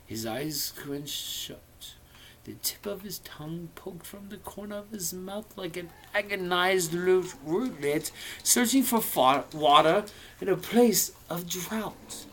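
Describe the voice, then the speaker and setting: A man's voice: high voice, slow, a bit bright, slight background noise, poor audio. A man casually reading a novel aloud, theatrical and playful, dropping in irreverent asides of his own. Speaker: amateur reader of a novel.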